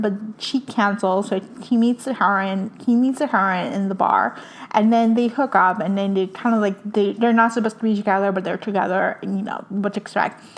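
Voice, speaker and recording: A young woman from hosts a casual podcast, her Spanish. She talks eagerly, full of fillers and little restarts, at an ordinary mid pitch for a woman. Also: slight background noise. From Puerto Rico. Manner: enthusiastic, chatty, many fillers. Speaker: podcast host. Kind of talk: casual book recommendations.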